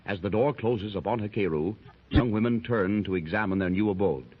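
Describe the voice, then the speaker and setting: A man's voice: fairly low pitch, normal speed, slightly rough. A man narrating an adventure radio serial in a declamatory, dramatic style. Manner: declamatory, rising with the action. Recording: hissy muffled 1930s radio transcription.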